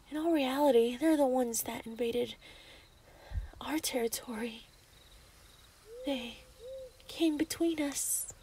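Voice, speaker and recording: A woman's voice: on the high side, a little mellow, slight background noise. A woman playing an obsessive, lovestruck young female werewolf in a yandere ASMR roleplay, menacing and possessive.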